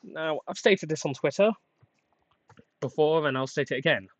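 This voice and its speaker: Young male podcast host, rambling and hesitant, plain flat voice, slightly under the weather; dry, dull lo-fi mic. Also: on the high side, normal speed, no room sound. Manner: rambling, self-deprecating, hesitant with many uhs and restarts. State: getting over a cold. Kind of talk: casual solo podcast rambling.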